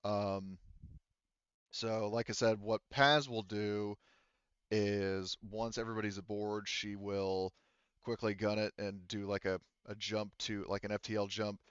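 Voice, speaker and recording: A man working as game master, casually narrating a sci-fi tabletop roleplaying game in a halting, think-aloud way. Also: fairly low pitch, little pitch movement, clean tone, dry recording, highs cut off.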